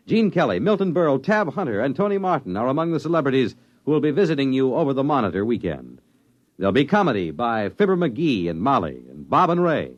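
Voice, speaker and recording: Lively 1950s radio announcer man. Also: medium pitch, dull audio. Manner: formal, emphatic announcer delivery. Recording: old radio broadcast with music.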